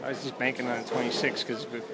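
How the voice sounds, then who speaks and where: A man's voice: medium pitch, flat delivery, racing. A man bowling in a match, joking wryly and self-deprecatingly with the cameraman in a noisy bowling alley, picked up by a handheld camera. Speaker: bowler. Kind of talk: casual banter. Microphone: handheld camera nearby. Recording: loud bowling alley din.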